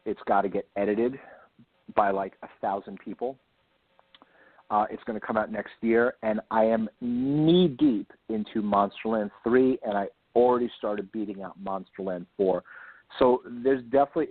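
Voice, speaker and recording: Husky man on a tinny phone line. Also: on the low side, normal speed. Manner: enthusiastic, rambling, emphatic repetition. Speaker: author guest being interviewed. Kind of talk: casual interview talk. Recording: lo-fi phone-like line, roomy echo.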